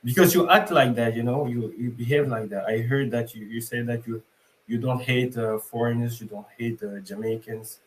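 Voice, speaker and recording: A man's voice: medium pitch, moderate pace, rough recording. A young man from Senegal, calling in and speaking hesitantly with many fillers. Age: young adult. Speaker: caller.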